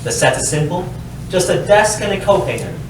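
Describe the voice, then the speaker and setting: A man's voice: high-pitched, very animated, brisk. Man narrating in a very echoey room. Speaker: stage directions reader. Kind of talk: scripted narration at a staged reading. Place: live staged reading, echoey indoor space. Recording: echoey room, distant mic, faint noise.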